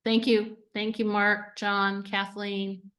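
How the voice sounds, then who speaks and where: A woman's voice: medium pitch, level tone, slow pace, fairly bright tone. A woman hosting a webinar on a video call, enthusiastic and talking with many fillers. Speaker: event host. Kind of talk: webinar introduction.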